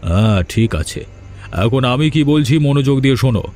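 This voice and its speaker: A man's voice: mid-range voice, animated, fairly quick, darkish tone, a little hiss or hum, lightly acted. A man voicing a scheming older father-in-law, insistent and protective of his daughter, in a fairy-tale cartoon. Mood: conspiratorial, insistent.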